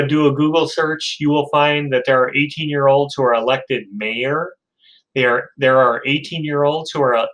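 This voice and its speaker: A man's voice: medium pitch, fairly bright tone, poor audio. A man in his fifties giving an informal lecture, chatty, with self-deprecating asides and frequent ums. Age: 50s.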